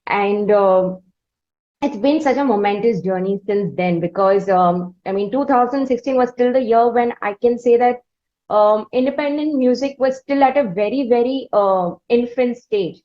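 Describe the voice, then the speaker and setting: Slightly high-voiced Indian woman interviewer, muffled audio. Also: mellow. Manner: wordy, halting build-up to questions. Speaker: interviewer, host. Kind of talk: conversational long-form interviewing.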